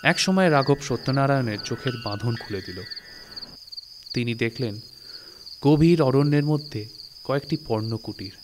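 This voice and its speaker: A man narrating a literary story in a dramatic, suspenseful way, with expressive phrasing and deliberate pauses. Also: medium pitch, noisy background. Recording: studio recording with music. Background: music and night insect sounds.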